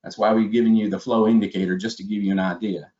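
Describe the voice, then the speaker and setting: Low-voiced man presenting a technical webinar. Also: flat delivery, fast, a little mellow. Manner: methodical, step-by-step explaining. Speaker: webinar presenter, product trainer. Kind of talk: instructional slide presentation narration. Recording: dull, lo-fi webinar audio.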